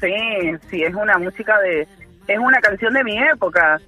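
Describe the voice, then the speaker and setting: A woman's voice: medium pitch, animated, normal speed, phone-quality sound, faint music behind. A woman in her thirties with an Argentine accent calling in to a radio show from her parked car, cheerful, giggly and a bit shy. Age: 30s. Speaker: caller. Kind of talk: phone-in chat with radio hosts.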